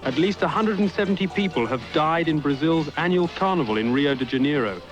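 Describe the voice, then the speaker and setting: A man reads a radio news story in a measured, formal voice pitched a little high. The recording is muffled and lo-fi, with music clearly audible underneath. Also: expressive. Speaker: newsreader. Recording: dull lo-fi radio with music behind.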